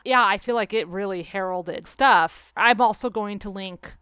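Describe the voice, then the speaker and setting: A woman podcast host chats casually in a clear, smooth voice, recorded close and dry. Her delivery is animated and sarcastic, with swearing thrown in freely. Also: medium pitch, normal speed, no room sound. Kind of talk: casual podcast chat about a film and book.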